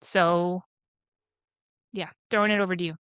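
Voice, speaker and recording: A woman's voice: fairly low pitch, steady tone, normal speed, a bit bright, smooth, dry recording, clean studio sound. A woman co-hosting a podcast, ranting in a fired-up, rambling way with many restarts. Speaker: podcast co-host. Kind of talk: candid casual chat.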